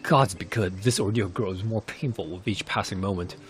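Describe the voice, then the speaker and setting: A man voicing a weary, solemn old village elder who is gentle with children, speaking in grave, archaic phrasing as in fantasy game cutscenes. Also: on the low side, normal speed, close to an everyday voice. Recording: clear background noise.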